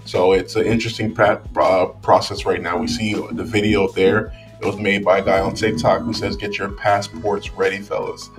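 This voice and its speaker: A man's voice: deep voice, little pitch movement, moderate pace, recorded from a distance, soft background music. A man in his thirties giving casual commentary to camera on a studio mic in a small room, talking thoughtfully with many fillers and restarts. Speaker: vlogger. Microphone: studio mic on boom arm.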